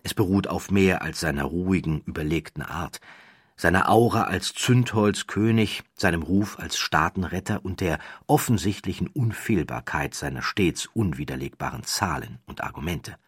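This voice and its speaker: A man narrating a nonfiction audiobook in a measured, dramatic storytelling manner, recorded close in a studio. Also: deep voice, husky, no echo.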